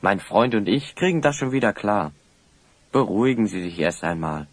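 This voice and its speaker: A man's voice: fairly low pitch, expressive, moderate pace, dry recording. A man playing a confident, polite young adventurer hero who talks in a reassuring way in a children's audio drama. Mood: reassuring, assured.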